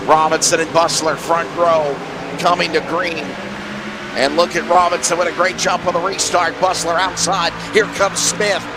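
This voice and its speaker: A man doing play-by-play for an online race broadcast, his voice hoarse and raspy, rattling off the running order. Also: on the high side, flat delivery, over loud music. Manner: rapid rundown of race order. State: hoarse from allergies. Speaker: play-by-play commentator. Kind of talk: sim racing broadcast commentary. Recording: noisy track sound behind.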